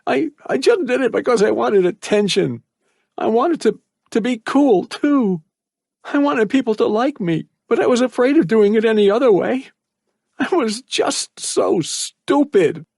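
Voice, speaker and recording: A man voicing a frightened teenage boy trapped in a cage, high and tearful with a cracking voice. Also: animated, normal speed, darkish tone, dry recording, studio quality.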